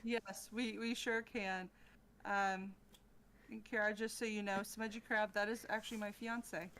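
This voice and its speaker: Slow, halting woman with faint background noise. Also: mid-range voice, little pitch movement, fairly bright tone. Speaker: podcast guest, ASMR creator. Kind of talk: casual chat.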